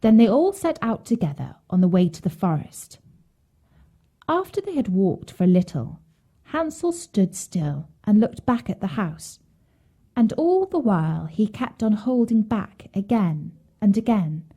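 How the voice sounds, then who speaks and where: A woman tells a bedtime story in a scripted, storybook style. Her voice is dark and slightly husky, at a middle pitch. She takes the narration slowly. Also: very animated.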